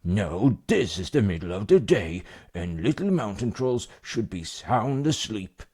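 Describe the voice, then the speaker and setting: A man voicing a gruff, thundering grown mountain troll who is stern but caring, in a bedtime story. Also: sing-song, a little slow, a little mellow, heavily acted. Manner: booming, thundering.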